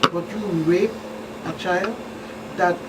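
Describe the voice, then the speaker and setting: A woman's voice: on the low side, slow pace. A woman with a Virgin Islands accent speaking as a police expert on a talk show, explaining things plainly and with emphatic repetition. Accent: Virgin Islands Caribbean. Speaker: police officer, expert guest. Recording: distant mic, clearly noisy room with hum.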